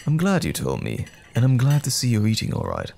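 A man's voice: mid-range voice, wildly swooping pitch, brisk, creaky, a little mellow, a little hiss or hum. A man playing a loving, attentive boyfriend in a roleplay audio drama, tender and reassuring with playful pet names. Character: loving, attentive boyfriend comforting his troubled partner.

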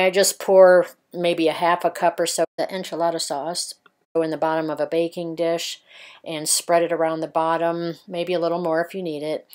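A middle-aged woman chatting casually in a van vlog, rambling with fillers and soft laughs as she walks through a recipe. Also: fairly low pitch. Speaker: vlogger. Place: inside a parked passenger van.

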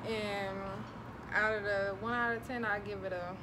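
A woman's voice: medium pitch, fairly even intonation, normal speed. An American woman in her twenties chatting casually as a vlog co-host into a handheld phone. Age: 20s. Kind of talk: casual chat. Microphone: phone at arm's length. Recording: noisy outdoor and car ambience.

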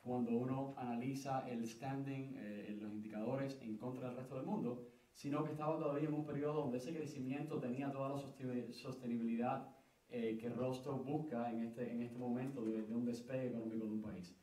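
A man's voice: mid-range voice, steady tone, fairly quick, warm tone. Young man answering, hesitant, roomy and distant. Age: young adult. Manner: hesitant, many fillers and restarts. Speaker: book co-author on a panel. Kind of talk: explanatory panel answer. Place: conference room, seated at a panel table. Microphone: table stand mic.

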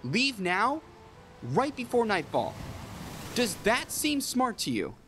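Sceptical crewman, audio drama male actor: high, swooping, questioning voice, faint ambience. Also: wildly swooping pitch, slow pace, background noise, exaggerated voice. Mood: doubtful. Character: cautious, doubting male crew member.

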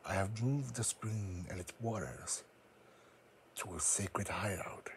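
Man acting out a solemn powerful psychic creature, low voice, very slow, formal, protective, fan audio drama. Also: slight background noise. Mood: stern. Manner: grave, deliberate, formal.

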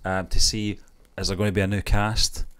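A middle-aged man co-hosting a casual review chat, speaking in a halting, hesitant way into a close microphone. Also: low voice, flat delivery, fairly bright tone, clear, resonant tone. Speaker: YouTube reaction show co-host. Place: small indoor home studio. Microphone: close microphone on boom arm.

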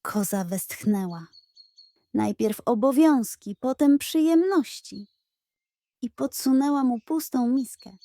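A woman narrating a children's story in an expressive, scripted storytelling style. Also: high-pitched, takes it slowly, no room sound.

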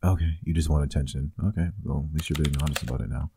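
A man's voice: rumbling low, steady tone, fast, vocal fry, background noise. A man playing a teasing, affectionate boyfriend in an intimate, casual ASMR roleplay, murmuring playfully.

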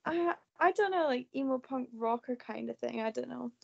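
Female student, unsure, creaky fairly high voice, dull call audio. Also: lively intonation, normal speed, darkish tone. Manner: hesitant, unsure. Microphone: video call.

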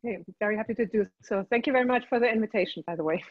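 A woman's voice: a little high, rapid-fire, dry recording. A woman in her forties from Germany with a German accent, explaining her work as an interior design director in a video call interview. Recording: dull lo-fi video call audio.

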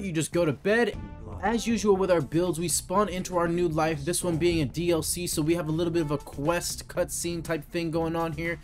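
A young man giving casual, slangy tutorial commentary as a gaming video host. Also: fairly high pitch. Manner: informal, slangy, explanatory. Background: music.

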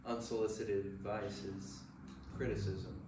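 A man's voice: fairly low pitch, flat delivery, unhurried. A man speaking hesitantly and thoughtfully in a casual group discussion. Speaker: Bible study participant. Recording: echoey room, distant mic, noisy background.

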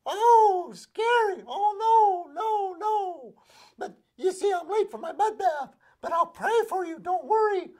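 A man voicing a vain, dismissive female pig in a folk tale, in a squealing, airy falsetto. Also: animated, takes it slowly, darkish tone, exaggerated voice.

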